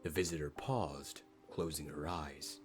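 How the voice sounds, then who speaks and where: A man's voice: rumbling low, steady tone, a little slow. A man reading a dramatic scripted story as a fan fiction narrator. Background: faint music.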